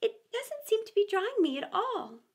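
Woman playing a high-voiced, plaintive little girl. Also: huge pitch swings, moderate pace, pushed and bright, full character voice. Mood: melancholy. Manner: plaintive, curious. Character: polite, melancholy little girl.

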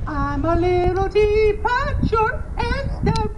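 Goofy show-off puppet, very high and wildly animated, exuberant live street comedy. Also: male voice, slow, dull audio, heavily acted. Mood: exuberant singing. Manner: exuberant, theatrical. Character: eager, goofy, high-voiced childlike male puppet show-off. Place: outdoor sidewalk show, speaker on stand, street ambience. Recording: distant, noisy outdoor street recording.

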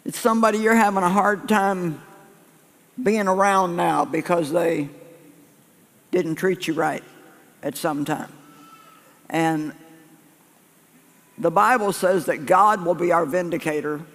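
An older man preaches to a packed church in a high, light voice, folksy and conversational. He restarts phrases and circles back to hammer a point home. His voice rings out across a long-reverb sanctuary. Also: steady tone, slow pace, creaky, long pauses, fairly bright tone. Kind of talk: sermon to a live congregation. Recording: echoey church hall.

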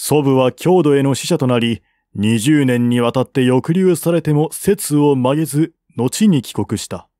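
A man's voice: medium pitch, a little slow, hardly any pauses, mellow, no echo. Man narrating a historical novel, dry recording. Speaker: audiobook reader.

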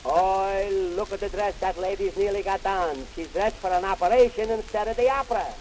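Crackly 1920s 78 comedy record, guy doing a high-pitched grumbling wisecracker with yiddish accent, brash and cheap. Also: moderate pace, a little mellow, close to an everyday voice. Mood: grumbling, wisecracking. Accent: Yiddish-inflected English. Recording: hissy, noisy 1920s 78 rpm record.

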